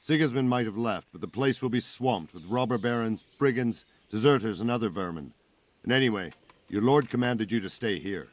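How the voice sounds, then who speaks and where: Firm fatherly man-at-arms, lowish voice, plain-spoken, game cutscene, no room echo. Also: animated, fairly quick. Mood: stern. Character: gruff, protective senior man-at-arms, kindly but firm. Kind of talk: video game cutscene acting.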